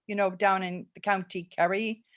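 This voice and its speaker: A woman's voice: medium pitch, flat delivery, moderate pace, a bit bright. A woman around seventy, originally from England and Los Angeles and later Ireland, chatting easily as a guest over a video call, rambling through stories with easy laughs. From born in England, raised in Los Angeles, later Ireland. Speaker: guest, homeopath and former nurse-midwife. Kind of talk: casual interview chat.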